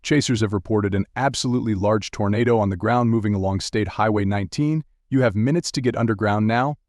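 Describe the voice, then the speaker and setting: A man playing a TV meteorologist who guides viewers through severe storms with steady, urgent, direct instructions in a live broadcast style. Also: lowish voice, fairly quick, no room sound, clean studio sound, lightly acted. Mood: grave, urgent. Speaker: TV weather broadcaster.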